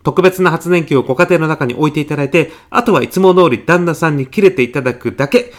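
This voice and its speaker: A man playing a polite, glib, persuasive salesman pitching to housewives in a comedic radio sketch. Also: quick pace, close to an everyday voice. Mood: confidential, persuasive.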